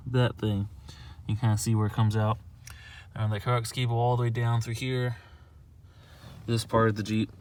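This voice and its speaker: A man's voice: lowish voice, level tone, normal speed, darkish tone. A young man talking in a casual, unscripted how-to vlog, rambling and repeating himself in a conversational way. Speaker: amateur video maker showing a car project.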